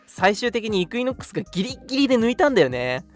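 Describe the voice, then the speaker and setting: A young man co-hosting a radio show, casually recounting things in an animated way and acting out reactions. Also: high-pitched, fast, soft background music. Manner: animated, acts out reactions while telling stories. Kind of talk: casual chat, recounting an outing.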